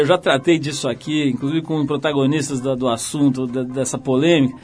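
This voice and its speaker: A man hosting a casual radio interview, joking and laughing as he asks questions. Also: fairly high pitch. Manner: jokey, laughs while asking. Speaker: radio show host.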